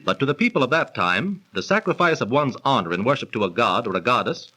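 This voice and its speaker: A man's voice: mid-range voice, big pitch swings, fast, bright, forceful tone, slightly rough, exaggerated voice. An actor playing a genial, learned young man who explains legends in polished, theatrical 1930s radio style. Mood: storytelling. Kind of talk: 1930s radio acting and storytelling. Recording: old 1930s radio transcription recording.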